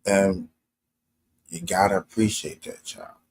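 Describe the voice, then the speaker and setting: Deep husky-voiced male streamer. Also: fairly even intonation, slow pace, a little mellow. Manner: rambling, earnest, repeats "you know what I'm saying". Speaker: streamer host. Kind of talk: casual live-stream reaction commentary.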